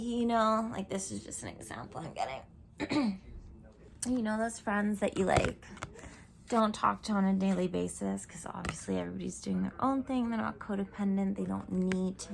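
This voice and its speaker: A woman giving a spiritual tarot reading at home into her camera. She talks in a croaky, meandering, reflective way, full of fillers, and often drops into whispers. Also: mid-range voice, a little mellow, a little hiss or hum. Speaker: tarot reader. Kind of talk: spiritual card reading monologue. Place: home room. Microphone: camera mic.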